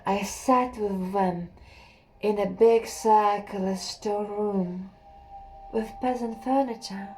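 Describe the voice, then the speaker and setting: A young woman reading a literary story aloud in a slow, hushed, measured way, with an occasional slip. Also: mid-range voice, low-quality recording. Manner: slow, hushed and measured, with a few slips and laughs. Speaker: audiobook reader.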